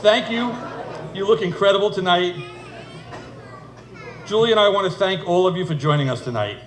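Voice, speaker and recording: Middle-aged male, fairly high voice, dry humorous wedding speaker, recorded in a reverberant room. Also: moderate pace, a crowd in the background. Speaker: father of the bride giving a speech. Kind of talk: humorous wedding speech told as a fairytale. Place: indoor wedding reception venue. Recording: echoey room, noisy event ambience.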